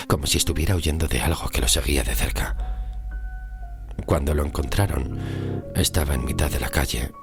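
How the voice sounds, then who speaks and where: A man narrating a scripted horror story in the first person, in a deliberate, hushed delivery with dramatic pauses. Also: extremely low pitch. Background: music under the voice.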